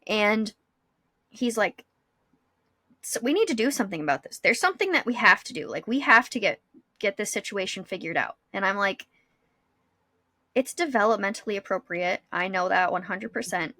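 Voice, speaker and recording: A woman podcast host in her thirties talks casually and reflectively. Her voice is bright and forward, recorded very dry. She explains things patiently, restating ideas as she thinks them through. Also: medium pitch, lively intonation, normal speed, pauses often, no echo. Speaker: school psychologist.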